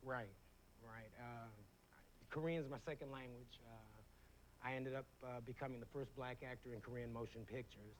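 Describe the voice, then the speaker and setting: A man of fifty, a talk show guest, telling his story in a halting way and repeating words. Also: medium pitch, fairly even intonation. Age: 50s. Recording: noisy old TV studio audio.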